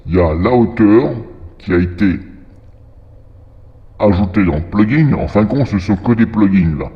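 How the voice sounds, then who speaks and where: A man walks through software in a very low voice, processed by a demonic voice changer. Long reverb trails his words as he rambles and thinks aloud. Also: lively intonation, moderate pace, highs cut off. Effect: demon voice changer: lowered pitch, reverb. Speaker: software tutorial host. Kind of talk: informal screen-recorded software tutorial. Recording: long echoing reverb from effect.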